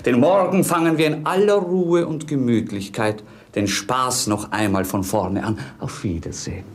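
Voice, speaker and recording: An actor playing a dreamy, whimsical young prince in love, speaking lyrically and playfully in a 1950s German radio play. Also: male voice, mid-range voice, normal speed, natural voice. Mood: gracious irony.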